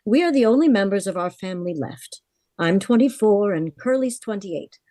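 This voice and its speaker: A woman acts out a frank, worried heiress in her twenties from a golden-age radio play. Her voice is warm and relaxed in tone, with a medium pitch and a very dry sound. She confides in an earnest storytelling way. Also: moderate pace, no room sound, close to an everyday voice. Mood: confiding. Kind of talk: vintage radio drama acting.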